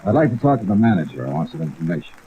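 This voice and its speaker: An actor playing a tough, dry American insurance investigator in a clipped, matter-of-fact 1950s radio style. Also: male voice, low voice, sing-song, very fast, phone-quality sound, a little hiss or hum. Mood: patient questioning. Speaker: radio detective lead and narrator. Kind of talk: 1950s radio acting, hardboiled narration. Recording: thin old radio, music and rain behind.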